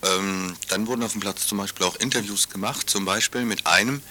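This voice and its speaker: A man's voice: on the low side, a little fast, bright, forceful tone, a little hiss or hum. A man presenting on a community radio show, explaining a background story in a loose, conversational way with occasional fillers.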